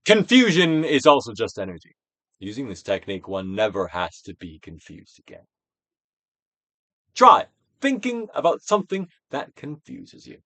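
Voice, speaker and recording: A man giving a dramatic, theatrical reading of a self-help book as a guided exercise, with deliberate, swelling and falling emphasis. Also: mid-range voice, wildly swooping pitch, bright, forceful tone, no room sound. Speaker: narrator, audiobook reader.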